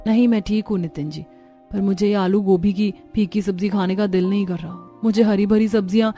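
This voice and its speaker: A woman plays a young daughter-in-law in a cartoon family drama, squeamish and put-upon. Her mid-pitched voice has a plaintive, complaining manner, with music underneath. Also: fairly even intonation, brisk, warm tone, close to an everyday voice. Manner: plaintive, grumbling.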